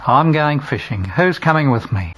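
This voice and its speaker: A man's voice: fairly high pitch, lively intonation, normal speed, a bit bright, rough recording. A man voicing an impulsive, emotional fisherman apostle, speaking plainly and then rising with feeling.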